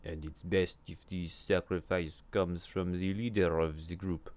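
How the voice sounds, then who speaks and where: A man's voice: low voice, fairly even intonation, measured pace, a little mellow. A man voicing a group of cruel, mischievous imps who talk in a sneering, demanding way. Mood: smug.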